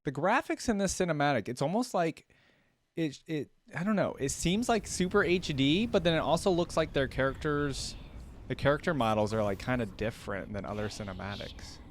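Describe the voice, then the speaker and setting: A man's voice: a little high, lively intonation, fairly quick, slight background noise. A man hosting a reaction video, commenting informally and enthusiastically as he thinks aloud.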